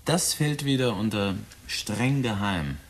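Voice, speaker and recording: A man's voice: lowish voice, expressive, slow pace. A man in a German radio drama playing a cynical 23-year-old murderer who taunts his father in a cold, flippant, insinuating way. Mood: sly defiant.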